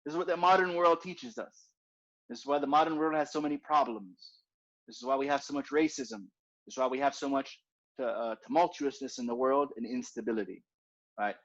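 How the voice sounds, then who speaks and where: A man's voice: medium pitch, animated, brisk, creaky, fairly bright tone. A man teaching an informal religious class in a conversational way, repeating his points and often asking "right?". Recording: dull lo-fi recording.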